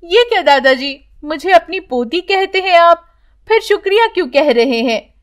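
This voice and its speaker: Woman playing a high-voiced devoted granddaughter. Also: animated, moderate pace. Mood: affectionate, determined. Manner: earnest, affectionate. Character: devoted, spirited granddaughter, young boatwoman.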